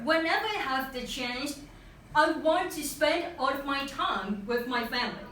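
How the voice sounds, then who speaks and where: Young woman, Vietnamese accent, class presentation, high lively voice, far off in a very echoey room. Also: slight background noise. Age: young adult. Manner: earnest, with pauses and restarts. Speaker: student presenter.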